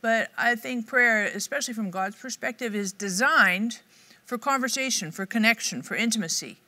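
A middle-aged woman speaking to camera with a bright, forward voice. Her delivery is upbeat and conversational. She teaches like a devotional host. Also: on the high side, moderate pace. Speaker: Bible teacher. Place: dark studio set, indoors.

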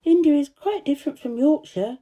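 A woman's voice: high voice, very animated, normal speed, husky, phone-quality sound, voice pushed up, heavily acted. A woman voicing a contrary, well-bred little girl who speaks in a precise, self-possessed way, in a storybook reading. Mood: thoughtful.

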